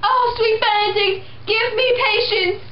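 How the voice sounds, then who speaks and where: A woman's voice: very high-pitched, fairly even intonation, a little slow, muffled recording, heavy background noise. A young woman reading several parts in a home puppet show of a classic play, in a plain, earnest way. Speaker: amateur sock-puppet performer. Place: indoor room behind a sheet backdrop.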